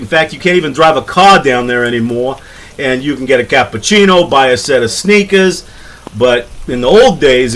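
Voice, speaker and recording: A man's voice: medium pitch, fairly bright tone, natural voice, low-quality recording. A man playing a touchy, streetwise werewolf with a New York accent, blunt and grumbling in a comic radio interview.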